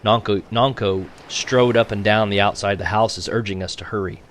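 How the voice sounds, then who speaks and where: A man reading a novel aloud as a teacher would for his class, in an expressive audiobook style. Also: lowish voice, normal speed, slight background noise.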